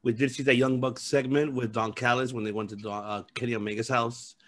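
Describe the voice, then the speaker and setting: A man's voice: medium pitch, steady tone, brisk. A man hosting a wrestling podcast over a video call, steering the talk from one topic to the next. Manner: steers the talk, brief reactions. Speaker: podcast host and moderator. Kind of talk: casual wrestling chat.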